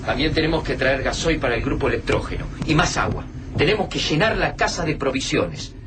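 A man's voice: on the high side, expressive, a little fast, noisy background, natural voice. A man playing a practical resourceful friend. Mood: somber. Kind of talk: radio drama acting.

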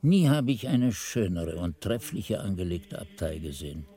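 A man playing a devout, learned monk who recalls his novice days in measured, reverent radio play narration. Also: deep voice, sing-song, warm tone. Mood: reverent, descriptive. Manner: measured, reverent, ornate phrasing. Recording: music under the voice.

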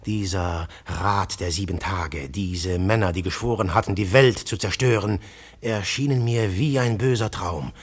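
An actor narrating as a tense, hunted undercover agent in a radio play. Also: male voice, low-pitched, a little slow, breathy edge, exaggerated voice. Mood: hushed. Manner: hushed, then urgent and breathless. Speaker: first-person narrator. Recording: narration over loud music.

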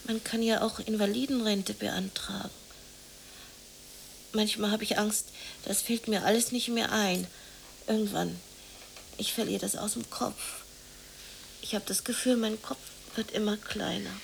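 A woman's voice: mid-range voice, fairly even intonation, measured pace, creaky, pauses often, slight background noise, poor audio. An actress in a German radio drama playing a bitter, anxious, unemployed working-class woman who confides in plaintive, colloquial speech. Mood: weary, confiding. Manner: colloquial, confiding, plaintive, sometimes near whispering.

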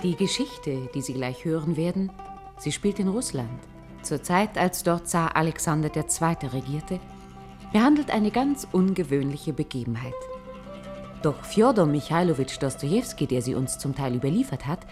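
A woman with a low voice narrates a radio drama. Her delivery is measured and wry, yet very varied in pitch, with music clearly behind her. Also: expressive. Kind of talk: expressive scripted radio play narration.

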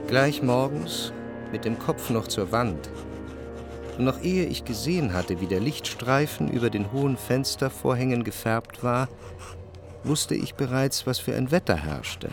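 An actor playing a reflective, melancholic man narrating his memories in a literary radio drama, measured and introspective. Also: mid-range voice, animated, over music. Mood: dreamy, reflective. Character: reflective, melancholic male narrator recalling his past life.

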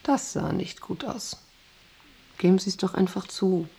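Imperious, gravelly female factory boss. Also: fairly low pitch, unhurried, heavily acted. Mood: scornful, urgent. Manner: imperious, intense, swinging between hushed and vehement. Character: proud, volatile female factory boss, obsessed with power. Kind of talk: German radio drama acting.

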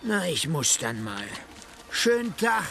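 An actor playing a mocking, cocky drifter with a drawling, sneering manner in a German western radio drama. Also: male voice, a little high, very animated, slow pace, gravelly, heavy background noise, heavily acted. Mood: smug, sly. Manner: sneering, drawling, chuckling between phrases.

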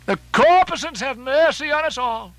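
A man voicing a hearty, blustering sailor in an audiobook, loud and emphatic. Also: sits high, lively intonation, measured pace, dry recording, voice pushed up, heavily acted, poor audio. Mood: fearful plea. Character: hearty, blustering, superstitiously cheerful sailor, second mate. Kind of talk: audiobook character acting.

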